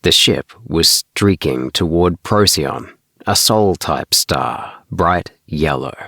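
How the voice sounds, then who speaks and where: A man reading a bedtime story as scripted narration, in an unhurried manner with the occasional stumble. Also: extremely low pitch, lively intonation, vocal fry, a bit bright, no echo, clean studio sound. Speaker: audiobook reader.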